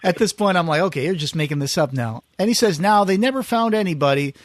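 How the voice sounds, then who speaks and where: Male podcast host, slightly high voice, dry close sound, casual storyteller who acts out quoted lines. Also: lively intonation, quick pace, dry recording. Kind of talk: casual chat.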